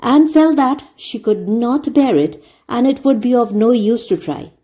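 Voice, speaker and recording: A woman reading a classic story aloud as an expressive audiobook narrator. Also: fairly high pitch, measured pace.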